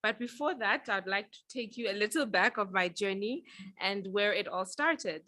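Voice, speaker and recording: A woman's voice: mid-range voice, animated, normal speed, sharp tone, no echo. A young woman from Zambia speaking earnestly at a panel about her own path, with some filler ums. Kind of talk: prepared personal talk at a panel.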